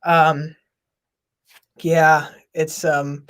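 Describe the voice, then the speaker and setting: A man from Minnesota hosting a casual live stream, talking loudly and laughing often. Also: on the high side, little pitch movement, extremely slow. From Minnesota, USA. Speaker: podcast host.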